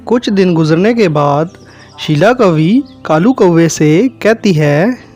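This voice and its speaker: High-pitched male story narrator. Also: animated, slow pace, darkish tone, soft background music. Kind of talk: scripted children's story narration.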